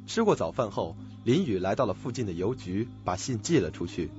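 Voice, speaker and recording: A man's voice: lowish voice, very animated, fairly quick, a little mellow. A man narrating a suspense radio drama from a script. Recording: dull lo-fi recording. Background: music.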